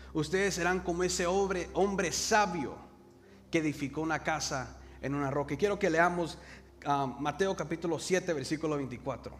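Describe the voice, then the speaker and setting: Young Salvadoran preacher in echoing hall. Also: male voice, on the high side. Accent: Salvadoran Spanish. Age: young adult. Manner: calls the congregation to repeat words, slows for emphasis. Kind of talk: sermon. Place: church hall. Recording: reverberant hall with faint noise.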